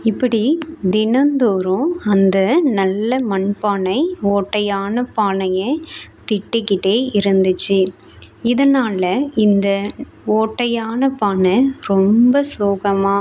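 A woman's voice: mid-range voice, takes it slowly, mellow, slight background noise, poor audio. A woman narrating a bedtime story for children as a storyteller.

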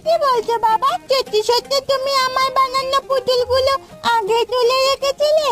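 A little village girl in a cartoon fairy tale. Her voice is tiny and very high, earnest and plaintive, with faint music behind it. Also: steady tone, normal speed. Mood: hopeful.

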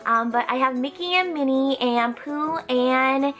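A young woman chatting casually and excitably as a haul vlog host, slightly stuffed up from a cold. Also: sits high, measured pace, faint music behind. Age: young adult. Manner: bubbly, excitable. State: stuffy, getting over a cold. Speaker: host of a toy haul channel. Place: indoor room lined with plush toys.

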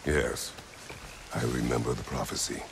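Stern old warrior dad for a game, super low growly voice, few words, very solemn, echoey. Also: male voice, steady tone, moderate pace, noisy background. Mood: grave. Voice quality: deep gravelly growl. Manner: clipped, solemn, formal short sentences. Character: stern, battle-weary older warrior father, terse and commanding. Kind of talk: video game dramatic acting.

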